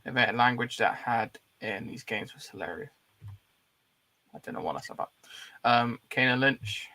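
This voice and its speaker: A man's voice: mid-range voice, moderate pace, vocal fry, sharp tone. A man hosting a podcast in a laid-back, blokey, casual way. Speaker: podcast host. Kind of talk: casual chat.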